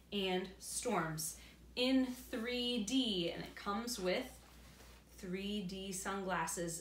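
A woman in her thirties reads a storybook aloud to children as a teacher, pausing to explain things in simple words. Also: medium pitch. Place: indoor room beside a large glass door. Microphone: camera a few feet away. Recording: roomy, distant camera mic with faint noise.

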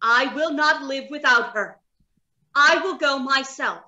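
Radio-play robot lover, earnest and defiant, played by a woman: high, forceful, measured. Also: highs cut off, full character voice. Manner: earnest, declamatory. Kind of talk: 1930s radio drama acting. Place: indoors on a video call.

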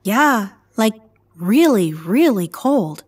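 A woman's voice: mid-range voice, sing-song, takes it slowly, husky, full character voice. A woman playing an easygoing, curious space explorer in an audio drama.

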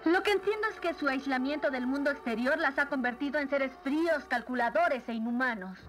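A woman's voice: high voice, fairly quick, a bit bright, soft background music. A woman playing a young, principled guard captain in a cartoon, polite but outspoken, with a Latin American Spanish accent. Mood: indignant. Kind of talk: dubbed animated series acting.

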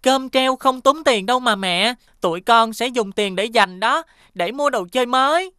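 Bright, high-voiced little cartoon boy. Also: normal speed, clear, resonant tone, no echo, studio quality. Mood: carefree. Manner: exclamatory, childlike phrasing. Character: cheerful eager little boy, a schoolchild. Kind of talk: children's cartoon voice acting.

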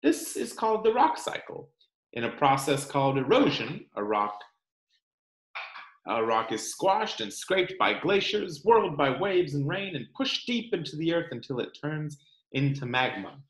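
Expressive man reading aloud, slow and roomy. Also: on the high side. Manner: expressive, deliberate, teacherly with questions to listeners. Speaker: children's read-aloud presenter. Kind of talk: picture book read-aloud. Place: indoor room at home. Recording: roomy home webcam sound.

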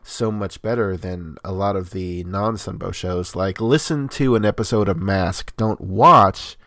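A man co-hosting a podcast, explaining in a measured, casual way. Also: low voice, bright, forceful tone, no room sound, studio quality. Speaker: podcast co-host. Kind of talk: casual chat.

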